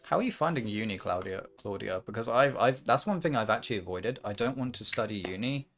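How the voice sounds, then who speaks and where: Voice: mid-range voice, expressive, quick pace, fairly bright tone, faint music behind. A young adult livestream host chatting casually and candidly, with frequent swearing.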